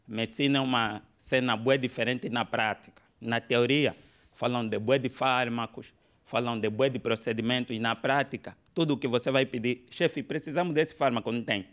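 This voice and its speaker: A man's voice: mid-range voice, a bit bright. A man in his thirties with an Angolan Portuguese accent doing colloquial, sweary stand-up on a club stage. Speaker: stand-up comedian. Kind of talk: stand-up comedy. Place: comedy club stage with audience. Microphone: handheld microphone.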